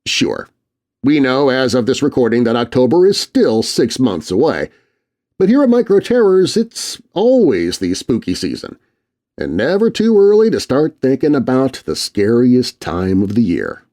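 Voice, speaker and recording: Lively-voiced man narrating a spooky tale. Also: mid-range voice, moderate pace. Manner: expressive reading of letters aloud. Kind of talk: scripted storytelling narration.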